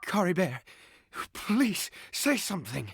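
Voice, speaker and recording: An actor playing a grieving, desperate father in a video game, pleading in a tearful, breaking voice with halting, dramatic delivery. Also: high voice, wildly swooping pitch, gravelly, full character voice. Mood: tender, remorseful. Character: grieving desperate father, bitter toward the gods.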